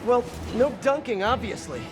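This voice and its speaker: An actor playing a young, quippy and earnest costumed superhero in a video game, with a wry, self-talking manner. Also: male voice, very high-pitched, very animated, normal speed, music in the background. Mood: cautious mentor. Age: young adult.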